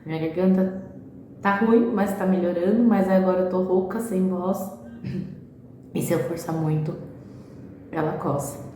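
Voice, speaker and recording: A Brazilian woman chatting casually at home for a reading vlog, often ending sentences with a quick "right?". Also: fairly low pitch, steady tone, dark tone. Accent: Brazilian Portuguese. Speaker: book vlogger. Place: at home, echoey room. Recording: very echoey room, faint background hiss.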